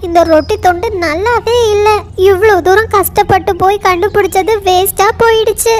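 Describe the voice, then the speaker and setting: Woman as a tiny female cartoon bird, extremely high voice, eager, talkative, faint background noise. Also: steady tone, normal speed. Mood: disappointed. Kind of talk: children's cartoon dubbing.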